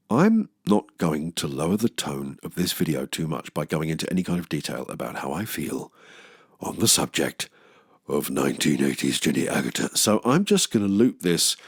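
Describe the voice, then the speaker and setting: Animated, low-voiced British man. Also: normal speed, husky, dry recording, studio quality. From England. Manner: wry, self-deprecating, expressive comic asides. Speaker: film review video host. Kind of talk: humorous scripted film commentary.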